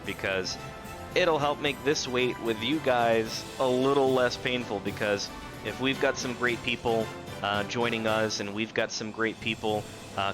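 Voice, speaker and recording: A man of thirty chatting casually and ramblingly to viewers as he livestreams a video game, with a self-deprecating streak. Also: on the low side. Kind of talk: casual live gaming commentary, chatting to viewers. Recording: music behind the voice. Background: loud game music.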